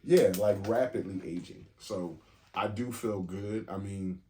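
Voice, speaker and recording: Candid, low-voiced man in his forties. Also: unhurried, darkish tone, a little hiss or hum. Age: 40s. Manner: candid, profane, laughs easily. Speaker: podcast co-host. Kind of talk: casual chat.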